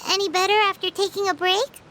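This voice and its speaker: A woman voicing a tiny, childlike girl companion in a video game, squeaky, earnest and caring. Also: fairly even intonation, fairly quick, pushed and bright, a little hiss or hum. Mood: concerned. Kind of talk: English video game dub acting.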